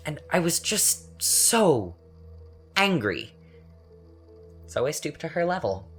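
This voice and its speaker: A man acting as a bitter, taunting young man in a confessional dramatic monologue, with a sardonic, halting, conversational delivery. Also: lowish voice, a bit bright, heavily acted. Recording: music under the voice. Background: music.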